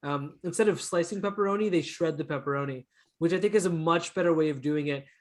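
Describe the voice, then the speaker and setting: High-voiced chatty Canadian man. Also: fast. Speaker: interview guest. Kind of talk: casual conversational storytelling.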